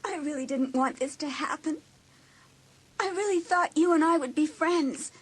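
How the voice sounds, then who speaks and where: An actress playing a hurt, insecure, apologetic teenage girl in an audiobook drama, with an emotional, halting, pleading delivery. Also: high voice, lightly acted. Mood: tearful, remorseful.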